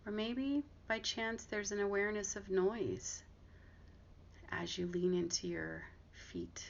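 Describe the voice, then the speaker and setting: A woman guiding a body scan meditation, giving gentle, unhurried instructions with long pauses between phrases. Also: mid-range voice, expressive. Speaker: meditation guide and therapist. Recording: dull lo-fi audio with clear background noise.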